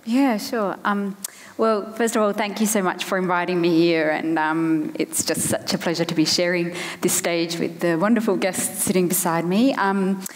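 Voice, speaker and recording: A woman in her thirties, from Afghanistan with Farsi as her first language, speaking as a panel guest with a thoughtful manner and frequent pauses, on a headset mic on stage. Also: fairly low pitch, steady tone. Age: 30s. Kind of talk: panel discussion. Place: large hall on stage. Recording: long hall reverb.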